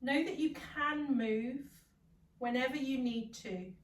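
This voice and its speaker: A woman leading a guided meditation as a yoga nidra guide, calm, soothing and gentle, leaving long pauses. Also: high-pitched. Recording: roomy echo, distant from the mic.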